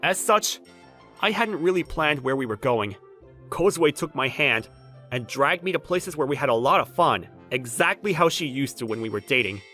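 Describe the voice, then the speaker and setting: A man's voice: mid-range voice, lively intonation, moderate pace, sharp tone. A man playing a flustered, jilted bachelor who narrates his awkward romance with dramatic, exasperated inner monologue in a manga dub. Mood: reflective narration. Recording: background music under the voice.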